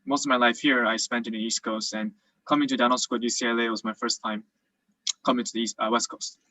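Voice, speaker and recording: A man's voice: mid-range voice, level tone, quick pace, pushed and bright, no echo, rough recording. A young man who grew up in South Korea and is now a dental student, explaining cases casually with lots of fillers over a video call. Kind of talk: virtual presentation and Q&A.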